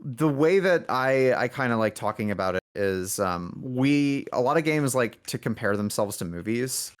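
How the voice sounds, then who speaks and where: A man's voice: mid-range voice, animated, moderate pace. A man speaking as an indie game developer in a casual podcast conversation, rambling and correcting himself as he thinks aloud.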